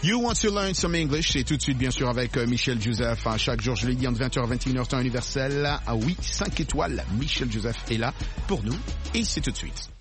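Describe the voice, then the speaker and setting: A man hosting a lively radio call-in show, joking, laughing often and repeating himself. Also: mid-range voice, fairly quick. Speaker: radio host. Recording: radio broadcast with music underneath.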